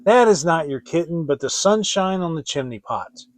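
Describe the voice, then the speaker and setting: A man's voice: fairly high pitch, animated, moderate pace, no echo, close to an everyday voice. A man voicing a cold, scornful stepmother in a storybook reading, commanding and emphatic. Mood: scornful, scolding. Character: cold, scornful, bossy stepmother in a fairy tale.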